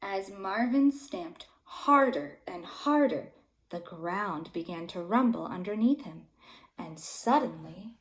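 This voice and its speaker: A woman's voice: mid-range voice, animated, slow, reverberant, a little hiss or hum. A woman reading a storybook aloud to young children like a teacher, with simple, emphatic phrasing.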